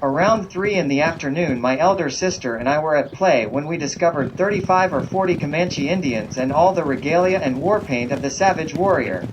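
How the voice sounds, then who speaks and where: A man's voice: a little high, expressive, a little fast, hardly any pauses, noisy background, natural voice. A man reading as a solemn frontier memoirist, in a formal, old-fashioned storytelling manner, for a history narration. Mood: solemn, grave. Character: man recounting his frightening boyhood frontier ordeal, solemn memoirist. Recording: dull, band-limited sound.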